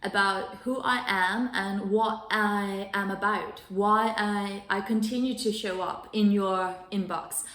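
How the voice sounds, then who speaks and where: A woman's voice: medium pitch, steady tone, slow pace, few pauses, fairly bright tone. A woman from Denmark, a wellness coach and host, telling her own story in an earnest, enthusiastic way with many fillers and restarts. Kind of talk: solo self-introduction on a sofa. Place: living room sofa. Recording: roomy echo.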